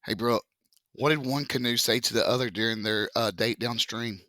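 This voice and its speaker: A male math teacher with a deep, froggy voice, explaining a lesson slowly and joking with the class. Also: pushed and bright, dry recording, studio quality. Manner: joking, chatty, slow step-by-step explaining. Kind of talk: casual classroom lesson video.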